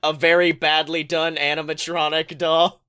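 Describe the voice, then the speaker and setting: A man's voice: a little high, flat delivery, normal speed, sharp tone, smooth. A man chatting casually as a podcast host, with a jokey, informal manner. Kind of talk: casual chat.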